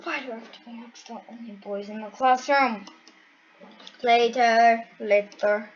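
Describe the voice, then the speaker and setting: A woman's voice: a little high, fairly even intonation, a little slow, a little hiss or hum. A woman acting out the characters of an amateur anime-style story in dramatized dialogue. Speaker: amateur voice actor for a fan-made anime story video. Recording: muffled lo-fi recording.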